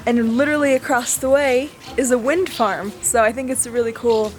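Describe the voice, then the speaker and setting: A woman's voice: fairly high pitch, normal speed, soft background music. A young woman from Bulgaria chatting casually as a travel vlogger, explaining the sites with lots of "like" fillers. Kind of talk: casual vlog narration. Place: outdoors at monuments and sites. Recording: faint outdoor and vehicle noise.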